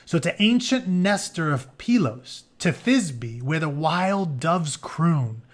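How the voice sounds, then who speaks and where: A man with a fairly high voice works as a teacher reading a book aloud. He moves between storytelling narration and casual, conversational asides that explain things for the listeners. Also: animated, slow pace. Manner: informal, conversational, explains as he goes.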